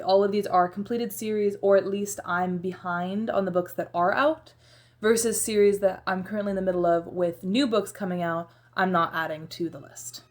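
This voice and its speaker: A young woman chatting casually as she narrates her own vlog, self-deprecating and full of filler words. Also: medium pitch. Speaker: book vlogger.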